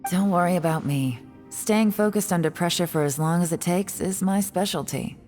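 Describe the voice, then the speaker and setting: A woman's voice: fairly low pitch, normal speed, darkish tone, faint music behind, lightly acted. A woman playing a confident, shrewd, cool-headed female agent in a video game, speaking with self-assurance. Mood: assured.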